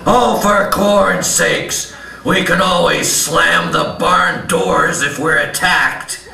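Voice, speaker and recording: A man's voice: high-pitched, takes it slowly, vocal fry, pushed and bright, heavily acted. A man voicing a brash, indignant barnyard animal puppet in a comic stage show, scolding and blustering. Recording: echoey live hall, distant, faint noise.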